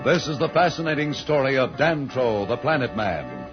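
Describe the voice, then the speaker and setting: A man's voice: mid-range voice, sing-song, moderate pace. Dramatic old-time radio serial announcer man. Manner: dramatic, declamatory. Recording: dull old radio transcription with music.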